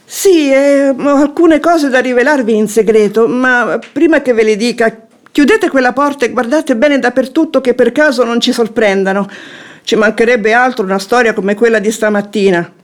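An actress playing a shrewd, composed wife who feigns affection, with formal, eloquent theatrical phrasing in a radio drama. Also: female voice, a little high, normal speed, natural voice. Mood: coy, confiding. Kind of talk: theatrical radio drama acting of classic comedy.

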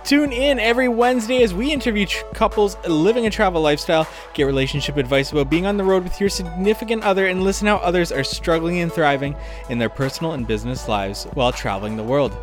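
Upbeat high-voiced male podcast host. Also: fast, music in the background. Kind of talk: scripted intro then interview questions.

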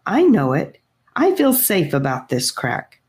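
A woman's voice: deep voice, huge pitch swings, a little slow, a little mellow, full character voice. A woman reading aloud voices a confident young boy who explains things in a matter-of-fact way. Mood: calm.

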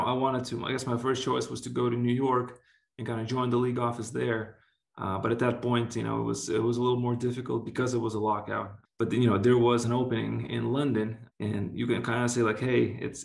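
Lithuanian man, gravelly edge, roomy sound. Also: medium pitch. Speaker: interview guest, basketball executive. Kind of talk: casual interview answers.

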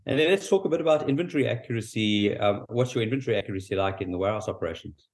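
A man with a South African accent, hosting an interview, asking questions and answering with quick short affirmations. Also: on the low side, lively intonation, a little mellow. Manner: brisk, frequent short affirmations. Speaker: podcast host.

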